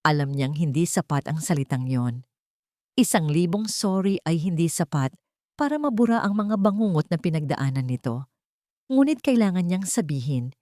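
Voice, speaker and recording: A woman narrating a scripted romantic drama with sentimental, deliberate phrasing. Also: low voice, a little mellow, no room sound, clean studio sound.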